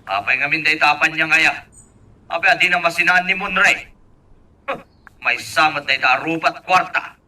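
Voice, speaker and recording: Casting: male actor for demanding, distrustful boss in radio drama, piercing tone, highish pitch. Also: normal speed, long pauses, sharp tone. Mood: nagging. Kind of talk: Ilocano radio drama acting. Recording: distant microphone.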